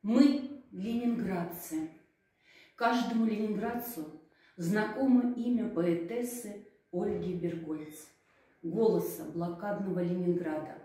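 A woman's voice: mid-range voice, warm tone. A middle-aged woman reciting in a solemn, dramatic, declamatory way. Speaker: challenge participant. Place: indoors, in front of a painted backdrop. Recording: echoey room from a distance.

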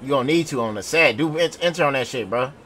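A man's voice: mid-range voice, fast talker, pushed and bright. A man playing online gangster roleplay, pushy and profane, repeating his demands in street slang. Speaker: roleplay game player. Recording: noisy game voice chat.

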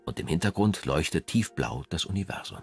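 A man reading scripted documentary narration in a measured, polished way. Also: deep voice, animated, vocal fry, husky. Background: background music.

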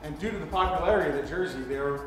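A man presenting a store promo in a slightly high voice, sounding off-mic and set back from the camera. The room rings with heavy echo and a long tail. Soft music plays underneath. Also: rapid-fire. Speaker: host. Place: hockey gear store, indoors. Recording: echoey room from far off.

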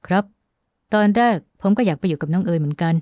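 A woman voicing a wounded, devoted young husband in a romance audiobook, gentle, earnest and reassuring. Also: fairly low pitch, fast talker, no room sound, muffled recording, lightly acted. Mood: earnest, loving.

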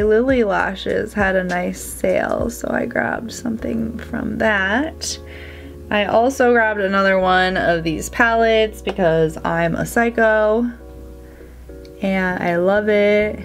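A woman's voice: mid-range voice, vocal fry. A woman in her thirties with a stuffy, nasal cold voice, chatting upbeat while doing her eye makeup on camera. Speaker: beauty vlogger. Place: small indoor room before a plain backdrop.

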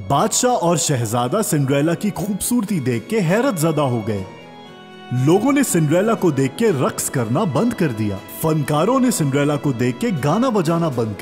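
A man's voice: on the high side, a little mellow. A man narrating a scripted fairy tale for children as a storyteller. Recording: long reverb, music underneath.

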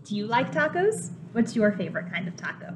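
A woman in her twenties, a preschool teacher reading a picture book aloud to small children in an animated, simply explaining way. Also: a little high, a little fast, noisy background. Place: home kitchen, indoors.

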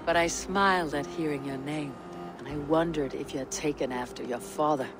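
A male actor playing a steady, wry young Viking warrior in cinematic game dialogue, with measured, deliberate phrasing. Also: a little high, music in the background, close to an everyday voice. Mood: sincere, firm.

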